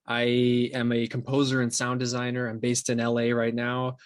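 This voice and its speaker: A man's voice: mid-range voice, completely flat delivery, moderate pace, no echo, studio quality. A young man from Brazil talking casually as an interview guest. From São Paulo, Brazil. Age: young adult. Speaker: podcast guest, composer and sound designer.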